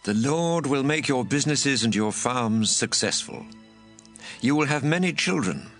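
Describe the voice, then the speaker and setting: A man playing a solemn preacher who pronounces blessings and promises in a measured, assured scripture recitation. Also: mid-range voice, lively intonation, a bit bright. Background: music.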